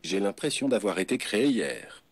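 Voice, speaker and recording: A male computer voice assistant giving evasive, witty canned replies in a smooth synthetic voice. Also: fairly low pitch, sing-song, normal speed. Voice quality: smooth synthetic text-to-speech voice. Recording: noisy phone speaker.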